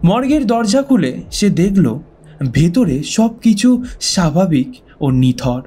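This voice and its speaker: A man narrating a scripted ghost story in a suspenseful, deliberate way with dramatic pauses. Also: on the high side, dark tone, husky. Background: background music.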